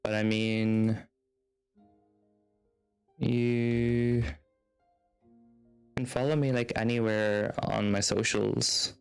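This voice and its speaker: A man with a low voice and a noticeable fry chats casually on a game livestream. He rambles along in an easygoing way while game music plays faintly behind him. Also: flat delivery, extremely slow, long pauses. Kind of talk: casual livestream chat, reading game text aloud. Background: video game music.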